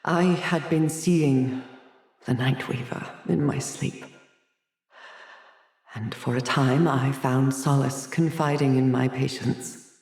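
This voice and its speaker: A haunted, haughty asylum doctor, echoey. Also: male voice, mid-range voice, fairly even intonation, slow pace, vocal fry, long pauses, darkish tone. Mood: haunted, brooding. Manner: theatrical, erudite, fretful, with sighs and hesitations. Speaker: video game voice actor. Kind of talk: dramatic video game dialogue.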